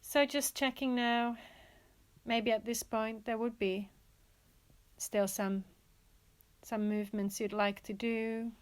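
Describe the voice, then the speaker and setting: A woman teaching yoga nidra, guiding a relaxation in a gentle, soothing manner with pauses and repeated, inviting phrases. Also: on the high side, little pitch movement, clean tone. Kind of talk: guided relaxation meditation.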